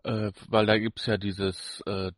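A man's voice: on the low side, little pitch movement, slow pace, no room sound, studio quality. A man co-hosting a podcast in casual banter, with a teasing, jokey manner. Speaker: podcast co-host.

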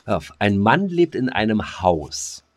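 A man's voice: lowish voice, big pitch swings, unhurried. A man chatting casually as a podcast co-host in a laid-back, slangy manner. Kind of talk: casual chat.

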